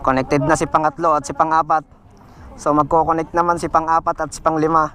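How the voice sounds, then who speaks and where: A man's voice: fairly high pitch, level tone, fairly quick, fairly bright tone. A Filipino man hosting a casual vlog, chatting and giving simple how-to instructions. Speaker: vlog host. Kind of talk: casual vlog chat and tutorial.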